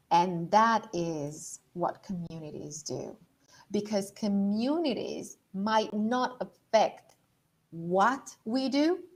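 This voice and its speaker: A woman's voice: medium pitch, a little mellow, low-quality recording. A middle-aged woman from Romania giving an online leadership masterclass, chatting through her slides with fillers over a video call. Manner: conversational, thinking aloud with fillers. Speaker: leadership coach.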